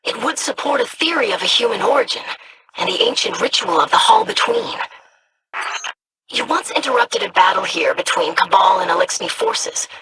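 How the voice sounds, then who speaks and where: A performer voicing an earnest, studious scribe in a video game, speaking in a measured, scholarly manner. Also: medium pitch, big pitch swings, sharp tone, husky, full character voice, low-quality recording. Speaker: scribe investigating monsters.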